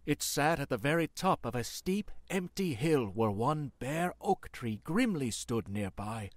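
A man's voice: mid-range voice, very animated, unhurried, no room sound. A man playing a spooky narrator, telling a scripted horror tale with theatrical, ominous phrasing. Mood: ominous storytelling. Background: music.

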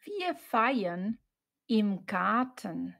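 A woman reading short example sentences for learners, saying each one twice in clear, separate chunks. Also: mid-range voice, big pitch swings, extremely slow, a bit bright. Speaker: German teacher.

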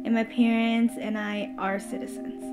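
A teenage high school student born in Vietnam, speaking thoughtfully in a documentary interview with hesitant pauses. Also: female voice, level tone. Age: teens. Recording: music bed underneath.

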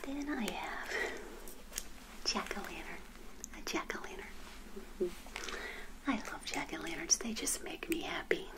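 An older woman whispering a gentle, hospitable ASMR roleplay as a friendly witch, softly repeating her phrases. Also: on the low side, animated, measured pace, pauses often.

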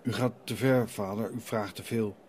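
A man's voice: on the low side, steady tone, normal speed, darkish tone, slight background noise, close to an everyday voice. A man playing a meek, evasive father in a radio play, deferential and hesitant as he asks forgiveness. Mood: defensive.

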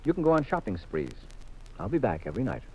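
An actor playing a confident, teasing American husband detective in a 1950s radio mystery, with a wry, assured manner. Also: male voice, lowish voice, wildly swooping pitch, quick pace, warm tone, slight background noise. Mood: relaxed, reassuring. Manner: wry, assured, leading questions. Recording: muffled vintage radio recording.

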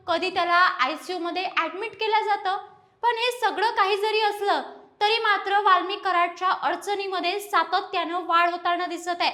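Very high, bright woman news presenter. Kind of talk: scripted news explainer narration.

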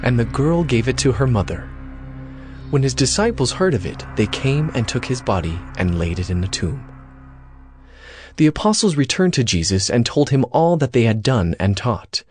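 A man narrating dramatized scripture as a scripted story, in a measured, solemn manner. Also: medium pitch, very animated, darkish tone, soft background music.

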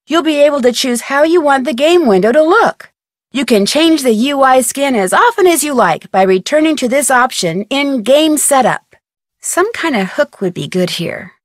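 Young female game sleuth, lightly high voice, dry sound, matter-of-fact, friendly, measured. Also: expressive, no echo. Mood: friendly, instructive. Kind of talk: video game voice acting, scripted instructions and remarks.

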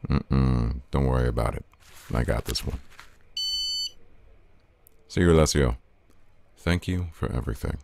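An actor playing a suave, teasing, flirtatious man in an audio roleplay, smooth-talking and informal. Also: extremely low pitch, a little slow, pauses often, noisy background, heavily acted. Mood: gracious, inviting.

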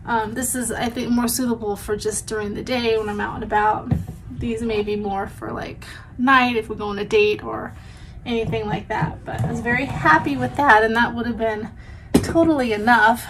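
A woman's voice: a little high, fairly even intonation, normal speed, background noise. Stuffy-sounding woman vlogging from home. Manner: chatty, rambling, with frequent "um" and "like". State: stuffy and congested with a sore throat. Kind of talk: casual chat. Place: small home bedroom, indoors. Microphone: camera in front at close range.

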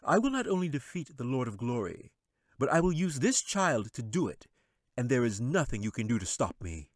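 A man's voice: sing-song, normal speed, no room sound, studio quality. A man doing a sly, taunting wizard villain. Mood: cold. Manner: taunting, sly, rising high. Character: scheming, mocking male wizard villain.

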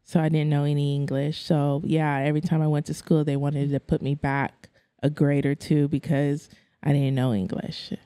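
A woman's voice: deep voice, level tone, mellow, no echo. A woman in her thirties who grew up in the Philippines with Tagalog as her first language, speaking plainly as a guest in a podcast interview. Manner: direct, matter-of-fact, quick laughs. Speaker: guest photographer. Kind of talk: casual interview chat. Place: bright studio room. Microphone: studio mic on boom arm, headphones.

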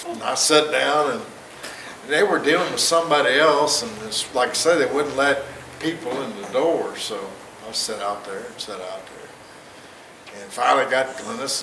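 A man's voice: mid-range voice, fairly bright tone. Rambling middle-aged man, roomy and distant. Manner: rambling storyteller, chuckles at his own jokes. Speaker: church member giving testimony. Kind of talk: personal testimony to a congregation. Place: church sanctuary stage, indoors, large. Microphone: far camera, no mic seen. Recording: roomy echo from far off, noisy.

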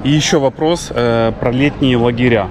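A man's voice: medium pitch, normal speed. A man interviewing someone outdoors, asking brief prompting questions. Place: outdoors on a seaside promenade. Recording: street noise, voice farther from the mic.

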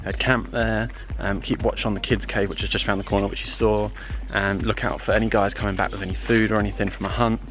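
Young guy talking to a handheld cam on a road trip, lowish voice, music under it. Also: fast talker, few pauses. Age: young adult. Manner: relaxed, chatty, hesitant with fillers. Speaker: travel vlogger. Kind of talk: casual travel vlog narration. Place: outdoors at a desert rock site and in a campervan. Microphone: camera held at arm's length.

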